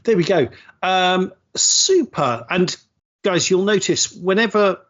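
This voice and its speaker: A man's voice: sits high, animated, slow, a bit bright, lo-fi sound. Upbeat rambling man hosting a podcast. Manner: upbeat, rambling, self-deprecating, says super a lot. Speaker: podcast host. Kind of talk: casual chat.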